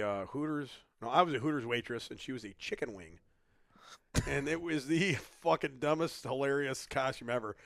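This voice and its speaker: A man's voice: medium pitch, vocal fry, fairly bright tone, no room sound. A middle-aged man co-hosting a casual podcast, joking and teasing, with frequent laughter, in a dry close-mic sound. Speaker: podcast co-host.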